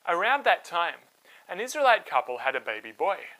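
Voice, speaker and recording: A man hosting and narrating a scripted story for children, with dramatic, clearly phrased delivery. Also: high-pitched, sing-song, normal speed, sharp tone, rough recording. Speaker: storyteller, host.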